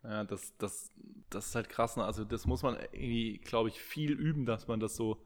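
A man's voice: lowish voice, normal speed. A man co-hosting a podcast in casual chat, rambling with many fillers and restarts. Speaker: podcast co-host. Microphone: podcast microphone.

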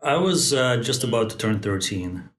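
A man in his forties, born in Moscow and raised in Central Asia, speaks thoughtfully as a podcast guest, pausing often to find his words. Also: medium pitch, expressive, fairly bright tone. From born in Moscow, raised in Tajikistan. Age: 40s. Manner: thoughtful, many fillers and restarts. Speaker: guest, company founder. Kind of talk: podcast interview. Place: Bay Area.

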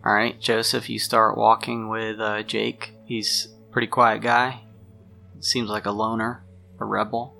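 Low gravelly male game master. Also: level tone, a little slow, pushed and bright. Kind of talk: improvised tabletop game narration. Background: music.

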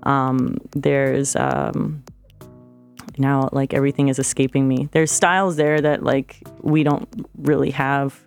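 A woman's voice: rumbling low, level tone, takes it slowly, gravelly, faint music behind. A woman from Florida, a DJ guest in a casual radio studio interview, talking hesitantly with many fillers and restarts. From Florida, United States.

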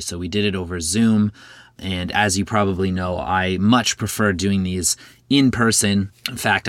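A man hosting his own podcast, a little hoarse from a cold, reading a friendly, chatty intro with the odd filler word. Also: fairly low pitch, a bit bright, dry recording. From Canada. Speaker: podcast host. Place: home kitchen.

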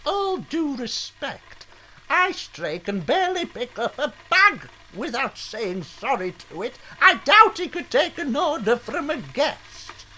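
Mild, slow-paced restaurant manager, played by a woman. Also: sits high, sing-song, pushed and bright, slight background noise, full character voice, poor audio. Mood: earnest. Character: well-meaning, easily hurt female restaurant manager. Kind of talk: adult comedy sketch acting.

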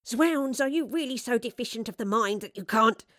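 A woman's voice: mid-range voice, lively intonation, unhurried, pushed and bright, no echo, studio quality. A woman voicing a pompous, short-tempered male swindler with affected, haughty aloofness in an audiobook. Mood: exasperated. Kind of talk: audiobook character acting.

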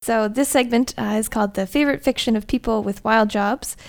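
A young woman interviewing casually as a podcast host. Her voice sits a little high and has a clear gravelly fry. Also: fairly quick.

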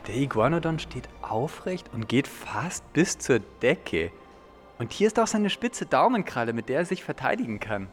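Man as an animated, eager young dinosaur fan. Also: medium pitch, normal speed, soft background music, close to an everyday voice. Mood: awed. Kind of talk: children's educational audio drama.

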